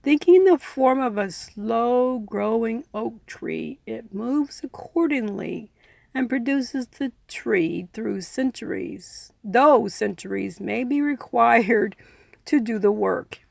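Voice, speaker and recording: A woman reading and explaining a self-help book in measured, deliberate phrases, in the style of an informal audiobook. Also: a little high, creaky, darkish tone, no echo. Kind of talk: audiobook-style reading with casual personal asides.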